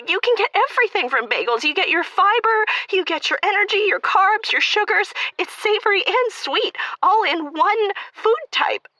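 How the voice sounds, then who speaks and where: Woman playing a shrill, high-voiced indignant bagel wife. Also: expressive, normal speed, hardly any pauses, bright, forceful tone, dry recording, heavily acted, low-quality recording. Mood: indignant, defensive. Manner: indignant, dramatic, prone to outbursts. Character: indignant, possessive bagel-baker wife, manic and aggrieved. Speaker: caller on a comedy call-in show. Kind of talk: improvised comedy phone-in.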